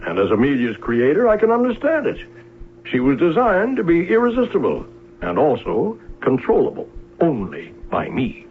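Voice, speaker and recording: Gravelly, arrogant inventor. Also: male voice, a little high, wildly swooping pitch, normal speed. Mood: haughty, dismissive. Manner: haughty, precise, dismissive. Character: coldly arrogant, controlling male android inventor. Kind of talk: 1970s radio drama acting. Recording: tinny, noisy old radio recording with hum.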